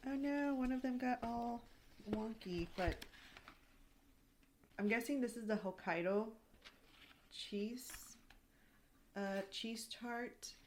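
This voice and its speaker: A woman in her thirties chatting casually at home in a rambling vlog, full of "like" fillers, her throat a little raspy. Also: on the high side, slow pace, pauses often, darkish tone, slight background noise. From United States. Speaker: lifestyle vlogger. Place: home, indoors.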